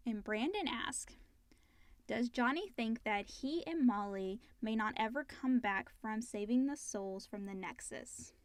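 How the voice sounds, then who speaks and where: A woman's voice: a little high, measured pace, no room sound. A woman co-host chatting casually about a drama series and putting questions from listeners.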